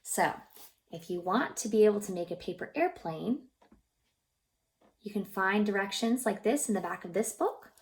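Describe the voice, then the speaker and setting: Creaky-voiced woman reading to young children. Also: mid-range voice, normal speed. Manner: expressive, gently encouraging, prompting the listeners with questions. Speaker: childcare teacher reading a picture book aloud. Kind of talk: read-aloud storytime for young children, narrating pictures and asking questions.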